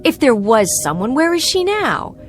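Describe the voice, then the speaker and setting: A high, very animated voice plays an anxious woman traveler in a radio play. She is polite but flustered, hesitating and stammering. Music runs faintly beneath her. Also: moderate pace. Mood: agitated. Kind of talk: audio drama acting.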